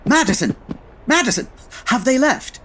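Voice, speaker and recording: A woman playing a pompous, dryly impatient male Victorian detective with a husky voice and formal, precise diction, in a comedy audio drama. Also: high-pitched, lively intonation, moderate pace, music in the background. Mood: urgent.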